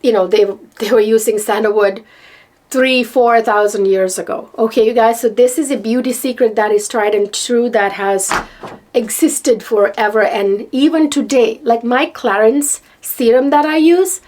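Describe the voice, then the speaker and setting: A middle-aged woman from Sri Lanka, talking excitedly to camera in a rambling, repetitive way. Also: fairly high pitch, normal speed. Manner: excitable, rambling, repeats words. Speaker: vlogger. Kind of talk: casual chat to camera.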